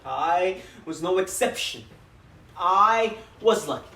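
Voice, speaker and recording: Young-sounding man acting a high-voiced, emotive proud father. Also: expressive, gravelly, sharp tone, full character voice. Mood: fond, proud. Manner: theatrical, emotive, with dramatic pauses and repeated phrases. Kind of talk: dramatic monologue performance. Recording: echoey room, distant microphone.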